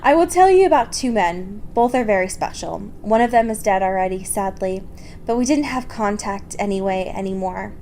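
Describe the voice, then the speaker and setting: A woman's voice: mid-range voice, animated, normal speed, warm tone, slight background noise, natural voice. A woman reading fan fiction aloud as a reflective, wistful, youthful-looking female vampire.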